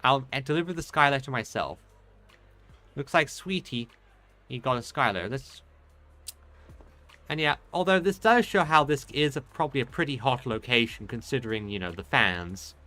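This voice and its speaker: Bright-voiced man playing a game. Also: mid-range voice, animated, normal speed, faint music behind. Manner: rambling, hesitant thinking aloud. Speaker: game streamer. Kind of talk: casual let's play commentary, reading dialogue aloud.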